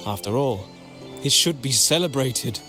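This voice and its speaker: An actor playing a courteous, earnest gentleman of a merchant family in a video game, speaking formally and politely. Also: medium pitch, expressive, close to an everyday voice. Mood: earnest, explanatory. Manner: formal, polite, measured phrasing. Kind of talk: video game voice acting, expository dialogue. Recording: voice over game music.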